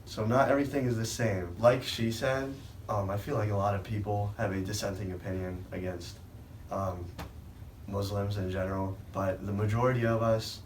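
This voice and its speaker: A teenage boy from Iran talks in a halting way, pausing often as he speaks on a school panel. His voice is on the low side. He is recorded from a distance in a reverberant room with faint hiss. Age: teens. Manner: hesitant, many fillers. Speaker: student panelist. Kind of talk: casual panel talk. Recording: echoey room, distant mic.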